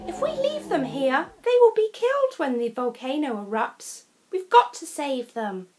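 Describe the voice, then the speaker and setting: A woman plays an excitable young girl from a children's storybook. The voice is very high and swooping, urgent and determined, with emphatic pleading. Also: unhurried. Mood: earnest, determined.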